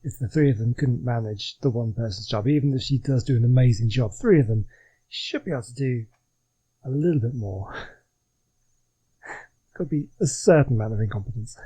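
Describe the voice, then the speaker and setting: A man's voice: mid-range voice, normal speed, pauses often, mellow, slightly rough, low-quality recording. A man giving casual, chatty let's play commentary in a rambling way. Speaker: gaming channel host.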